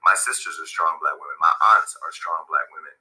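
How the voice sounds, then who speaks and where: A man giving a direct-to-camera statement from an office, speaking in a deliberate, measured way and repeating phrases for emphasis. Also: very deep voice, steady tone, sharp tone. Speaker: media founder giving a video statement.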